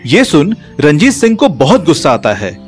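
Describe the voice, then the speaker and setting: A male storyteller reads a scripted tale with a very lively, varied intonation. His voice is somewhat high for a man, and music plays behind him. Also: normal speed.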